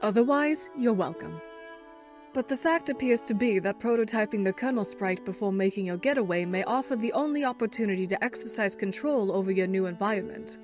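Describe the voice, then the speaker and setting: A woman playing a sarcastic, erudite girl, reading a scripted monologue in a deadpan, articulate manner. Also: mid-range voice, brisk, soft background music, natural voice. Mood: dry, sardonic. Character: dry, erudite, sarcastic girl writing a game walkthrough.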